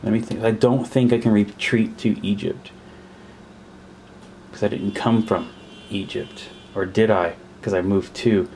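A man's voice: lowish voice, fairly even intonation, measured pace, a little mellow, a little hiss or hum. A man playing a war board game at a table, counting pieces aloud and puzzling over the rules as he goes. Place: indoors at a table.

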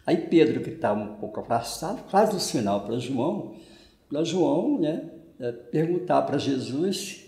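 An older Brazilian Portuguese-accented priest preaching a homily to a camera at medium distance in a small room at home. His voice is gravelly and mellow, and its pitch moves up and down a lot. The room gives it a noticeable echo. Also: sing-song, moderate pace. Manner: conversational, frequent "né" tag questions, gestures with hands. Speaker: parish priest. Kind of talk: homily on the gospel. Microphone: fixed camera at medium distance. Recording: echoey room.

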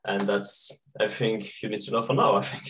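Low-pitched young belgian phd guy on a video call, hesitant, feels for words. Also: steady tone, moderate pace, fairly bright tone, slight background noise, poor audio. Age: young adult. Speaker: PhD student panelist. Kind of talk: video-call panel discussion.

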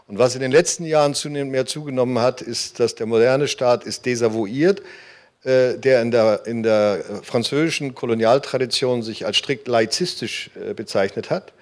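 A man speaking as a panelist at a conference, earnest, with many hesitation fillers, on a handheld mic in a hall. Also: mid-range voice. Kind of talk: panel discussion.

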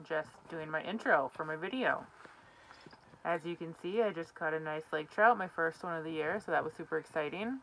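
A chatty, easygoing young woman with a slightly low voice, talking close to a handheld camera inside a small tent out on the ice. She giggles often between her sentences. A faint hiss of outdoor noise sits behind her. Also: a bit bright, poor audio. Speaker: outdoor vlogger. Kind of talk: casual vlog chat. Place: small ice fishing tent on a frozen lake. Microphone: camera held close.

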